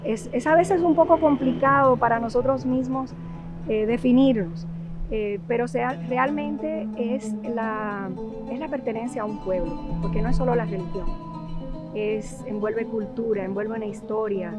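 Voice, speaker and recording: A Dominican woman with a Dominican Spanish accent, answering in a documentary interview. She speaks thoughtfully and often pauses on filler sounds while she searches for words. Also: fairly high pitch. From Dominican Republic. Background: background music.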